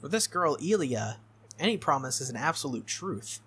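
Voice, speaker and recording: A game playthrough host reading visual novel text aloud as expressive narration, with casual asides. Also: medium pitch, moderate pace, a little hiss or hum.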